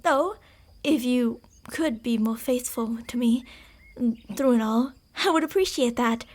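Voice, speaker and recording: A woman voicing an obsessive female fox spirit in a borrowed human body, speaking in a calm, formal, quietly manipulative way that dips into whispers. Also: fairly high pitch, unhurried. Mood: devoted. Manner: soft-spoken, dipping into whispers. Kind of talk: ASMR roleplay.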